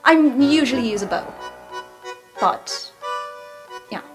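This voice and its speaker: A woman plays her character in a tabletop roleplay with a casual, wry delivery. Her voice is on the higher side, with faint music behind it. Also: expressive, slow pace. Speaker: tabletop player speaking in character.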